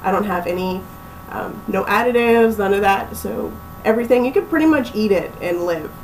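A young woman from Pennsylvania, chatting casually as a small business owner in an interview, relaxed and full of ums. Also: medium pitch, moderate pace, far from the mic, slight background noise, low-quality recording. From Pennsylvania, USA. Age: young adult. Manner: relaxed, chatty, laughs easily, many ums.

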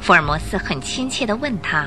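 A woman narrating a scripted Chinese radio drama in an expressive storytelling style. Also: medium pitch, a little fast, soft background music.

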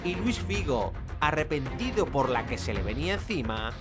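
A man narrating a sports documentary in a measured, dramatic scripted style. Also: mid-range voice, big pitch swings. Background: music.

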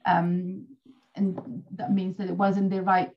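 A woman's voice: fairly low pitch, completely flat delivery, takes it slowly, lo-fi sound. A woman with a Swiss Italian accent, a guest explaining her views at length in a casual chat. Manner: thoughtful, explains at length with fillers. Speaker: dog behaviour guest.

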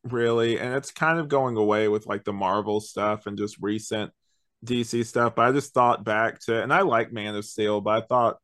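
A man's voice: fairly low pitch, fairly even intonation, normal speed, a bit bright, dry recording, studio quality. A man hosting a podcast, chatting casually about a review and rambling as he thinks aloud. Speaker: podcast host.

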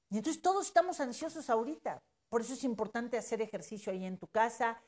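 A woman's voice: on the high side, a little mellow. Mexican woman in her fifties, preachy. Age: 50s. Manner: emphatic, preachy, with casual swearing. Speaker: brand owner giving a motivational talk. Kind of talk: motivational social media talk.

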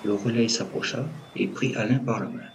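A man's voice: mid-range voice, fairly even intonation, fairly quick, background noise. A man narrating a scripted audio fiction as a storyteller.

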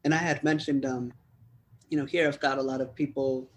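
A man's voice: medium pitch, steady tone, brisk. A man from the United States interviewing a footballer, asking long, rambling questions in a chatty way.

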